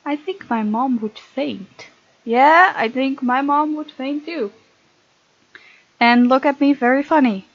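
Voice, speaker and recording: A woman's voice: sits high, slow pace, lo-fi sound. A woman hosting a gaming video, reading visual novel text aloud with chatty, giggly commentary.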